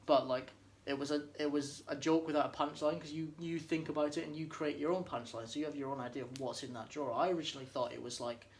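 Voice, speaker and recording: A man's voice: on the high side, fairly even intonation, quick pace, few pauses, slight background noise. A young man, co-hosting a casual podcast, talking in a laid-back, joking way and sometimes searching for words. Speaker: podcast co-host. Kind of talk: casual chat.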